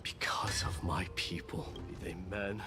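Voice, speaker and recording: An actor playing a brooding, proud young warrior prince in a dramatic video game scene. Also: male voice, deep voice, fairly even intonation, measured pace, in a whisper. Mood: solemn, conflicted. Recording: game audio under loud music. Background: loud music.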